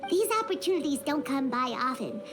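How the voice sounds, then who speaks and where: Squeaky, bubbly little fairy companion. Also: female voice, lively intonation, brisk. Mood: cheerful. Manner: speaks of self in third person, bubbly. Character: small chirpy childlike fairy companion, friendly, self-important. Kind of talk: video game voice acting. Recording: game music behind the voice.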